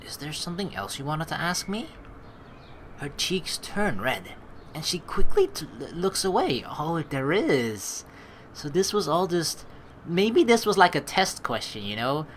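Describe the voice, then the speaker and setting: Rambling, lively let's play commentator. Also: mid-range voice, a little slow, slight background noise. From United States. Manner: rambling, thinks aloud, restarts and asides. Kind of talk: reading a visual novel aloud with running commentary. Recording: game music underneath. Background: game music.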